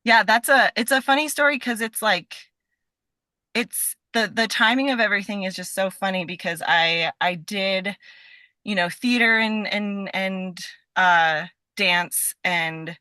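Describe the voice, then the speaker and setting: A bright, forceful female voice with a dry, close sound. She chats casually as a podcast guest, telling stories in an animated, giggly manner and slipping into quoted asides. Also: fairly low pitch, unhurried, no room sound. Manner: giggly, breaks into laughter. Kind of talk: casual interview chat.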